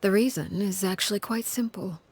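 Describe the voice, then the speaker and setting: An actress playing a cold, commanding woman who rules an orphan household, speaking in a low, husky, hushed and measured way in a video game. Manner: calm, measured, menacing.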